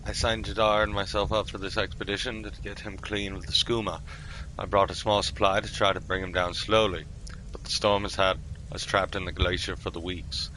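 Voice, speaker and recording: A man on a live roleplay gaming stream, reading journal entries aloud in a slightly theatrical, halting way. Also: low-pitched, little pitch movement, pushed and bright, a little hiss or hum, poor audio. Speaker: game streamer.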